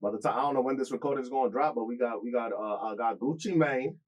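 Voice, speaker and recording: Quick talking radio host guy, jokey, jumps way up when he gets into a story. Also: low-quality recording. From Kenya. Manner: animated, jokey, leaps high when telling stories. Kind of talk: casual radio interview.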